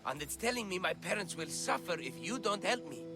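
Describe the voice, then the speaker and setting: Pompous high-voiced young son. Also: male voice, very animated, a little fast, music in the background. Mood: self-important. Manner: self-important, melodramatic. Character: pompous, deluded young son, mock-ferocious, prophecy-obsessed. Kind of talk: video game cutscene acting.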